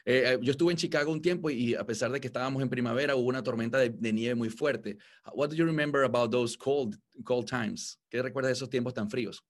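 A man's voice: medium pitch, a little fast. A man hosting an interview and interpreting it, who often repeats words and restarts while he translates.

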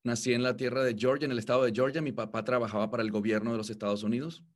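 Halting male interviewer who interprets. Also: medium pitch, level tone. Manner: halting, repeats words while translating. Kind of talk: bilingual interview, translating.

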